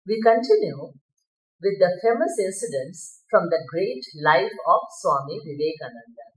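A middle-aged woman with an Indian accent telling a story to children with deliberate, expressive enunciation. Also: fairly low pitch. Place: indoor room with bookshelves. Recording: echoey room.

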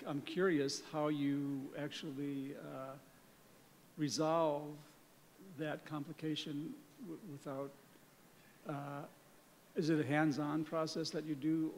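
A man calls out a question from the audience seats, halting and hesitant. His voice is fairly high for a man but very flat in pitch, and he comes from far off through the ringing hall, with faint room noise underneath. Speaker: audience member asking a question. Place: auditorium audience. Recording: far-off voice with long hall reverb.

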